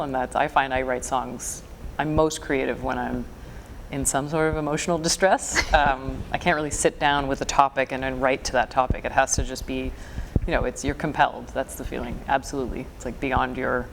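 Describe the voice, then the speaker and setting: A woman in her forties from the Toronto area, a folk singer with a low voice, chatting in an interview with small laughs. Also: fairly even intonation, a little fast, gravelly, a little hiss or hum, rough recording. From Toronto area, Canada. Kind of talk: casual interview chat.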